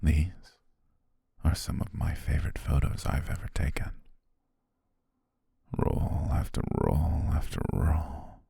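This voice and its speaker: Very deep, gravelly, hushed obsessive stalker played by a man. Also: dry recording, full character voice. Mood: softly possessive. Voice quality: very deep, husky, creaky, breathy. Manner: slow, hushed, lingering pauses. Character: obsessive, menacing yandere male photographer stalking his beloved. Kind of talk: slow, intimate ASMR roleplay.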